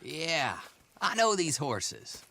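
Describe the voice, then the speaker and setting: An actor playing a cocky, shifty horse dealer in a video game, taunting and folksy. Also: male voice, a little high, big pitch swings, takes it slowly, gravelly, pushed and bright, heavily acted. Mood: haggling. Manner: taunting, folksy, repeats himself. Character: cocky, needling, shifty horse-dealing twin brother. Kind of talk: video game cutscene acting.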